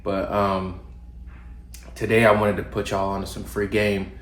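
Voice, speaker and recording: A man's voice: lowish voice, measured pace. A young man, a little congested from a cold, giving casual fitness advice to camera with plenty of ums and restarts. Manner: relaxed, loose with fillers and restarts. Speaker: fitness vlogger. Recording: roomy home recording, faint ambience.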